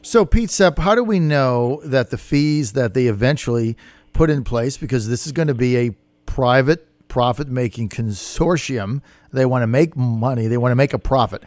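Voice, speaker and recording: A man's voice: medium pitch, moderate pace, darkish tone, no echo. An American man hosting a radio interview, putting challenging questions in plain-spoken words. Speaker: radio talk show host. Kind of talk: probing live radio interview.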